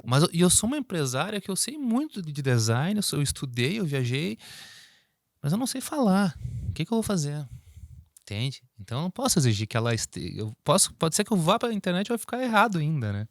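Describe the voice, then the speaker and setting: A man co-hosting a business podcast, talking through examples in a lively, animated way. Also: a little high, a little fast, dry recording, studio quality. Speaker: podcast co-host. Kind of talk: casual business chat.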